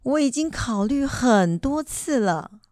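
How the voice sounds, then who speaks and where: A woman playing an animated, stubborn grandmother. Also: sits high, slow, no room sound, studio quality. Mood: firm. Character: stubborn, cheerful grandmother determined to go back to school. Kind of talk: family radio drama acting.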